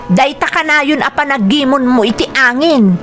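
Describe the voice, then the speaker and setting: A high-pitched, indignant Ilocano wife. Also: female voice, normal speed, fairly bright tone, faint music behind. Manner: emphatic, protesting. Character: indignant young wife defending herself against jealous husband. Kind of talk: radio drama acting.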